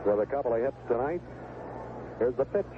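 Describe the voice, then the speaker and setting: A man's voice: mid-range voice, expressive, a little fast, narrow, tinny audio. A man calling baseball play-by-play live on the radio, heard through a noisy old broadcast recording with stadium ambience behind him. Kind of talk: live radio sports commentary.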